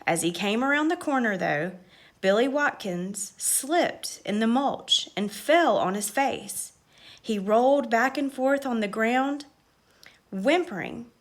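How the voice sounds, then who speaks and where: A woman reading a children's story aloud with expressive, measured, dramatic narration. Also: fairly high pitch.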